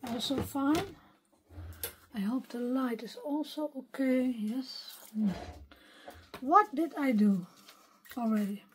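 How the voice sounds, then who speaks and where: A Dutch woman talking English at an unhurried pace in a fairly high voice. Her voice is weak and partly gone, and she murmurs to herself as she works at her craft desk. Faint noise sits under the recording. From Netherlands. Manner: soft-spoken, unhurried, talks to herself while working. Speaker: craft video maker. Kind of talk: thinking-aloud craft tutorial. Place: craft desk at home, filmed from overhead.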